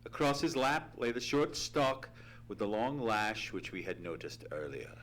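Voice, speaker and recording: Doctor Watson, earnest, suspenseful radio narrator. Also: male voice, on the low side, sing-song, unhurried, fairly bright tone. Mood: tense, suspenseful. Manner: theatrical, suspenseful, formal storytelling. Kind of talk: old-time radio dramatic storytelling. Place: theatre stage, live radio play.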